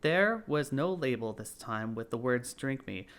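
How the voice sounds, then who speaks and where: Male storybook narrator, mid-pitched. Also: expressive, unhurried, a bit bright. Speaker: audiobook narrator.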